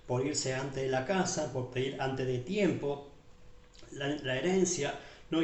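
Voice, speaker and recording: Argentine pastor preaching in an echoey hall. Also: male voice, a little high, darkish tone. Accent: Argentine Spanish. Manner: repeats tag questions, list-like phrasing, addresses listeners as beloved. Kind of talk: sermon. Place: church hall. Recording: echoey church room from far off.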